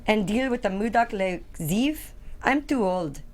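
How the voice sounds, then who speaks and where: A woman's voice: medium pitch, expressive, unhurried, lightly acted. A woman playing an older male Russian mobster, worldly, cynical and blunt, reading in a screenplay table read.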